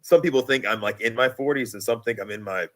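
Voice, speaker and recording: A man in his thirties, hosting a casual podcast conversation, chatty and quick to laugh, acting out little quotes as he talks. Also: medium pitch, fairly bright tone. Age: 30s. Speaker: podcast host.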